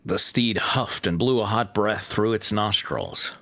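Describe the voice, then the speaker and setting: A man's voice: fairly low pitch, unhurried, fairly bright tone, no echo. Dry, close-sounding man narrating a story. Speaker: fan fiction reader and narrator. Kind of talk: scripted story narration with joking asides.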